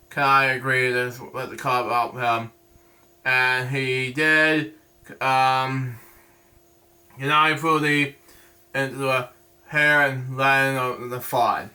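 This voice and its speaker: A man hosting a storybook read-aloud, halting and stumbling over words, drifting into rambling casual asides. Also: medium pitch, sharp tone.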